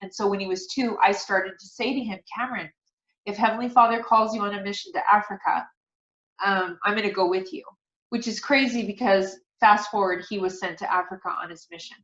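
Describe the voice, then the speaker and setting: A woman's voice: mid-range voice, brisk, fairly bright tone. A middle-aged woman speaking as an interview guest, sharing personal stories in an earnest, devout way. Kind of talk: casual personal interview, storytelling. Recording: dull, roomy sound.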